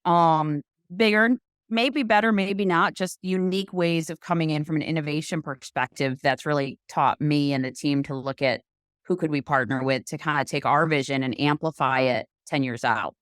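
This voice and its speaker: A woman podcast host with a notably low voice talks in a loose, rambling, enthusiastic way. She chats casually. The recording is dry and close. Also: moderate pace, no echo. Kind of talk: casual conversational chat.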